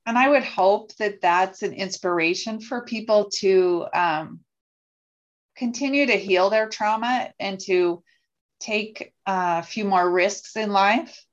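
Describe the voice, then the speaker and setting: A woman's voice: medium pitch, takes it slowly, highs cut off. A woman guest talking earnestly on a podcast, with some hesitant restarts. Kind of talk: conversational podcast chat.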